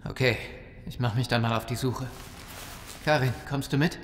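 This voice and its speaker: An actor playing a cautious, practical survivor in a zombie audio drama. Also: male voice, mid-range voice, fairly even intonation, normal speed, background noise, natural voice. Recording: echoey hall reverb.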